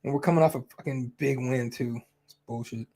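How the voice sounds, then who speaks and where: A young man hosts a fight-night livestream, rambling loosely between topics. He swears freely, trails off into ums and uhs, and keeps an easygoing, offhand tone at a middling pitch. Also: steady tone, brisk, warm tone. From Mexican heritage. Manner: rambling, profane, easygoing, many fillers. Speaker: host of a fight companion stream. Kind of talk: casual livestream chat.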